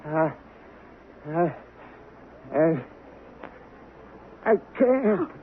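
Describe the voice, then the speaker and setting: A man's voice: fairly high pitch, sing-song, very slow, full character voice. A man playing a stricken, frightened old rich man in a radio drama, gasping out broken, labored words. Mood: feeble. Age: older. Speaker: actor. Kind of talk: 1970s radio drama acting. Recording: tinny, noisy vintage radio broadcast.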